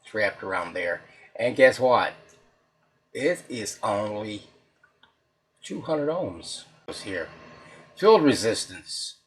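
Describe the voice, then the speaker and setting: A man with a fairly low, husky voice hosts a casual workbench repair video. He talks without a script while his hands are busy, in a folksy, halting way. Much of it sounds like muttering to himself as he works. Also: animated. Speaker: host of a radio repair video, hobbyist restorer. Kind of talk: casual unscripted workbench narration while handling the work.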